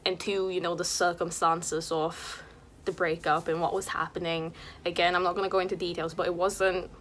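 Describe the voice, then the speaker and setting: A young woman in her twenties from Singapore, talking candidly and confessionally in a personal vlog, full of "like" and "you know," sometimes dropping to a soft whisper. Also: on the low side, little pitch movement, normal speed, a little hiss or hum. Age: 20s. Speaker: vlogger and streamer.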